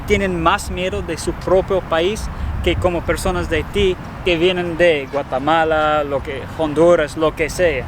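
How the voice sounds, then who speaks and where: A man's voice: a little high, steady tone, unhurried, noisy background. An American man in his twenties, a guest on a vlog, speaking laid-back and often adding "you know", also speaking Spanish as a second language. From United States. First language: English. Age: 20s. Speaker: interview guest.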